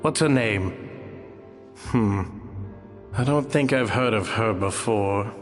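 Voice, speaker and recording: A man's voice: lowish voice, slow pace, in a large hall, soft background music. A man playing a skeptical, aloof character in a video game.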